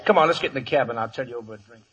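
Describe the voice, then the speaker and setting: An actor playing a cocky, taunting outlaw gunslinger, breezy and mocking, in a 1950s Western radio drama. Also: male voice, medium pitch, racing, a bit bright, husky, dull audio. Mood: amused. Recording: vintage 1950s radio broadcast.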